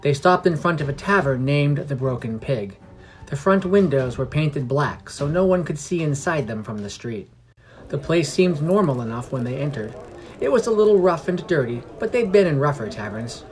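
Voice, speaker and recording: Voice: mid-range voice, expressive, normal speed, a little mellow. A podcast narrator reading a scripted fantasy story. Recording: music under the voice. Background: background music.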